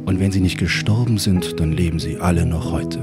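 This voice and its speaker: An actor playing a wry fairy-tale narrator in a radio play, reading scripted storybook narration. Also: male voice, deep voice, a little fast, lightly acted. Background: guitar music.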